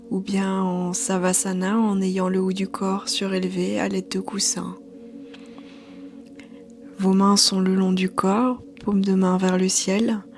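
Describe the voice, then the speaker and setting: A woman yoga teacher guiding a meditation in a soothing, hushed, breathy voice. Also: mid-range voice. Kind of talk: guided meditation narration. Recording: soft background music. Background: quiet music.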